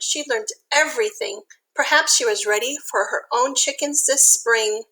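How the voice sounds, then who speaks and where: Female storytime reader, high and bright, animated sing-song delivery, talks directly to young kids. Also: low-quality recording. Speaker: elementary school teacher reading to children. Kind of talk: expressive children's picture book read-aloud with asides.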